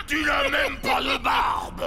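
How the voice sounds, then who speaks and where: An actor voicing a ferocious, boisterous space pirate chief in a cartoon, gravelly and theatrical, with blustering delivery. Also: male voice, very noisy, full character voice. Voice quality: gravelly, booming. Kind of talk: French cartoon villain acting. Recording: loud music behind the voice.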